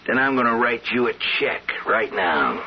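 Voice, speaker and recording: An actor in a 1970s radio drama playing a tired, muddled, sly old man who talks haltingly and repeats himself. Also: mid-range voice, animated, close to an everyday voice. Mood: coaxing. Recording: muffled, noisy old radio recording.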